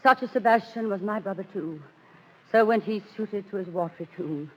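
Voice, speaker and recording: A woman's voice: mid-range voice, moderate pace, close to an everyday voice. An actress playing an earnest young heroine disguised as a boy, declaiming fervently in 1930s radio Shakespeare style. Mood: awed. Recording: muffled, reverberant old radio recording.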